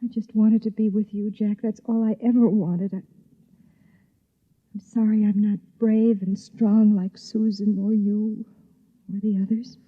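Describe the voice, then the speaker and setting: Actress playing a remorseful young wife, tinny old radio. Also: female voice, mid-range voice, level tone, unhurried, close to an everyday voice. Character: frightened, remorseful young wife narrating her own ordeal. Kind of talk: 1950s radio drama acting. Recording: tinny 1950s radio recording, music behind.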